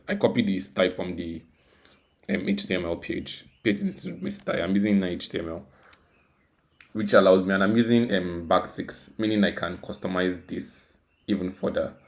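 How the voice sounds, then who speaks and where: Casting: man, low-pitched voice, informal tech tutorial host who thinks aloud and repeats himself. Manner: rambling, self-deprecating, repeats words and phrases. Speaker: tutorial host, software developer. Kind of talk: casual, unscripted coding screencast walkthrough.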